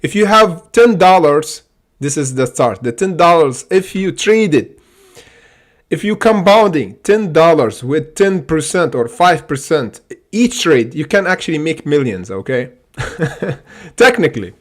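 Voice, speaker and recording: Excitable man. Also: on the high side, a little slow. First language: Arabic. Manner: excitable, repeats "okay?" and many fillers. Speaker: stock trading livestream host. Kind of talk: casual livestream chart commentary.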